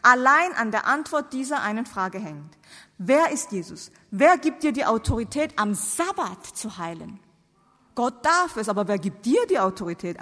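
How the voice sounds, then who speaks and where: A woman's voice: high voice, a bit bright. A woman who is a non-native German speaker preaches theology to an audience with emphatic rhetorical questions. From outside Germany. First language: not German. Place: large reverberant hall before seated audience. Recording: long reverb of a large hall.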